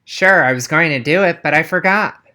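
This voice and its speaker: A woman's voice: low-pitched, moderate pace, sharp tone, voice pushed down. A woman voicing a nonchalant, brainy schoolboy in a storybook, with a matter-of-fact manner.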